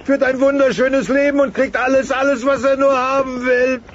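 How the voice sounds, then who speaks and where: An actor playing a soft-hearted, sulky dinosaur father, plaintive and melodramatic, in a children's audio play. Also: very high voice, level tone, normal speed. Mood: tearful. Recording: muffled, noisy cassette-style audio.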